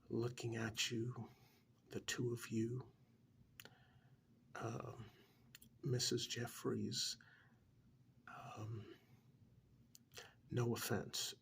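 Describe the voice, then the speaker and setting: A man playing a calm, blunt couples therapist in a soft-spoken ASMR role-play, half-whispering with breathy, deliberate, hesitant pauses. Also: mid-range voice, a little hiss or hum. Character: calm, blunt male couples therapist counselling married clients. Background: soft clicking of pencil tapping.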